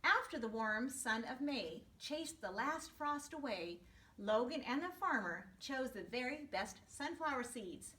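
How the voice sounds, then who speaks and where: A woman reads a children's story in a bright, high voice, explaining things to her young listeners as she goes. She is expressive and teacherly. The room echoes and the microphone sits some way off. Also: unhurried, recorded from a distance, slight background noise. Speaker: first grade teacher reading to her class. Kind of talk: storybook read-aloud for young children with asides.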